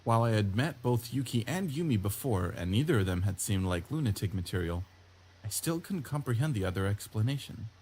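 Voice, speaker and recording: A man's voice: on the low side, animated, brisk, slight background noise. A man reading a visual novel's story aloud as scripted narration. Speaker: gameplay video host reading a visual novel. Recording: loud game music under the voice.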